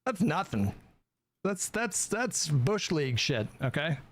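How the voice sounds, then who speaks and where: A man's voice: on the high side, very animated, slow pace, over music. A man rambling casually to his livestream chat, emphatic, defensive and given to swearing. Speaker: streamer talking to his chat.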